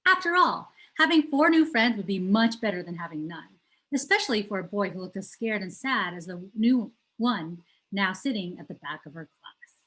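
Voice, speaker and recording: A woman's voice: mid-range voice, lively intonation, moderate pace, a bit bright, rough recording. A woman reads a children's novel aloud as a storyteller, putting emphasis on key words. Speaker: teacher reading aloud.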